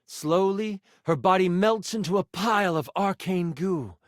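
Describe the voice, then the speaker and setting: A man's voice: sits high, a little slow, no room sound, studio quality. A man playing a theatrical game master who narrates a fantasy adventure in a vivid, dramatic storytelling style for a video game. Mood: grave, suspenseful. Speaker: voice actor playing a game character.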